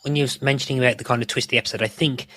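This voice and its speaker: A man's voice: medium pitch, fairly even intonation, very fast, sharp tone. A man hosting a podcast, discussing analytically but casually, circling back over his phrases as he goes. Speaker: podcast host.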